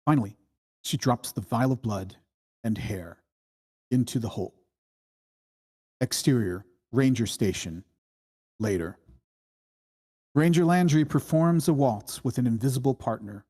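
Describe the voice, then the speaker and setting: A narrator reading screenplay stage directions at a table read in measured, deliberate short phrases. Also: mid-range voice, warm tone, slightly rough. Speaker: screenplay reader.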